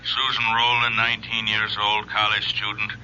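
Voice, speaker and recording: A man's voice: on the low side, little pitch movement, moderate pace, bright, forceful tone. An actor playing a businesslike, weary male homicide cop in an old radio drama, speaking in terse, matter-of-fact phrases. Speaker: police detective. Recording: muffled, hissy old radio recording.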